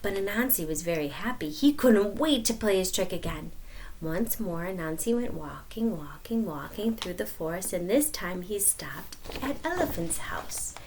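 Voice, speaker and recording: A woman's voice: on the low side, lively intonation, moderate pace, darkish tone, a little hiss or hum. A woman reading a storybook aloud to children, explaining as she goes and repeating words for emphasis. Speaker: storybook reader. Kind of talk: expressive read-aloud to children.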